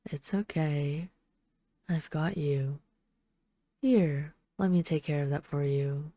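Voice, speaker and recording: A woman playing an ageless vampire in an intimate audio roleplay, speaking softly and without hurry, tender and wistful with a gently condescending, commanding edge. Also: low-pitched, takes it slowly, dry recording. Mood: soothing, teasing.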